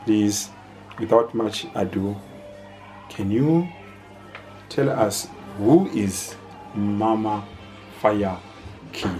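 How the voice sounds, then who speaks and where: Man, fairly low voice, very slow halting delivery, formal host phrasing, ceremonious. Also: mellow, soft background music. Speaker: host interviewer. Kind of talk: formal programme introduction and questioning.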